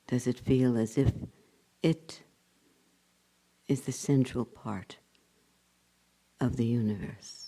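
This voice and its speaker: A man leading a guided meditation as a spiritual teacher, speaking in short phrases with long pauses and hushed endings. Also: mid-range voice, steady tone, low-quality recording.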